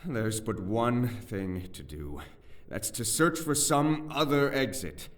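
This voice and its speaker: A man's voice: medium pitch, expressive, slow pace. An actor playing an eloquent, anxious young nobleman in a fantasy audio drama, with theatrical, archaic phrasing. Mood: urgent, resolute. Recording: music bed behind the voice.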